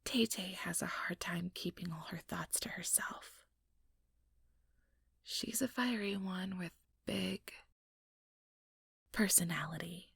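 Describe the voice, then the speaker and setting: A woman playing an ambitious, sugary, manipulative vampire in an ASMR roleplay, speaking in a breathy, whispery voice with coaxing, condescending sweetness. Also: on the low side, slow, pauses often, a bit bright, dry recording, heavily acted. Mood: falsely sympathetic. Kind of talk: ASMR roleplay, soft whispered monologue to listener.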